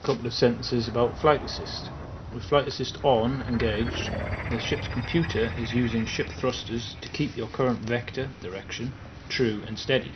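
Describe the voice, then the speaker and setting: A man with a northern English accent that slips into southern English at times, narrating a partly scripted game tutorial in a measured, explanatory way with many careful qualifications. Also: medium pitch, steady tone, lo-fi sound, noisy background. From England. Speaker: video game guide maker.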